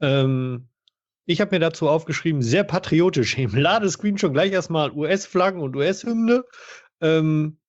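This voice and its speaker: A man's voice: on the high side, lively intonation, a little slow. A man in a casual podcast discussion of retro video games, talking in an informal, explanatory way. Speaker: podcast panelist. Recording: dull, band-limited sound.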